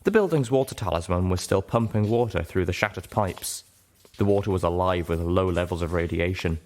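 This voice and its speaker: A man's voice: deep voice, brisk, a little mellow. A man reading fiction aloud as scripted audiobook narration. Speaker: reader, narrator.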